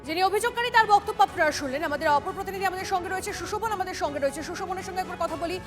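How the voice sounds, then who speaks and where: A woman's voice: very high voice, very fast. A woman with a West Bengal accent presenting live TV news as the anchor. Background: news music.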